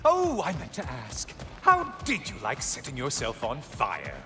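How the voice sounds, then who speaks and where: An actor playing a gleeful, mocking tormentor in a video game, chatty, theatrical and sarcastic with mock innocence. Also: male voice, medium pitch, wildly swooping pitch, measured pace, music in the background. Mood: taunting. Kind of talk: dramatic video game acting.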